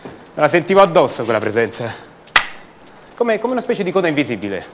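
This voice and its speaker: Restless, halting, fast-talking young man. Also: on the high side, very animated, background noise. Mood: wistful. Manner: hesitant, halting, repeats words, rhetorical tags. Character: restless, defensive young man from a privileged family. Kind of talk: theatrical monologue, radio drama.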